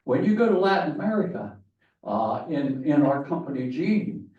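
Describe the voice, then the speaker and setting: A man's voice: fairly high pitch, sing-song, moderate pace, darkish tone. Man lecturing in an echoey hall. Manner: rambling, many fillers and restarts. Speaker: conference speaker. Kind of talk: conference talk to an audience. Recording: distant echoey hall, dull sound.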